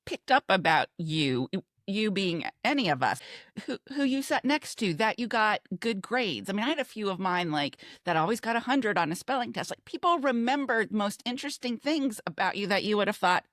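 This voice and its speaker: Female podcast co-host, 50s, American, very animated intonation, casual talk, dry sound. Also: mid-range voice, fairly quick, no room sound. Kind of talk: casual chat.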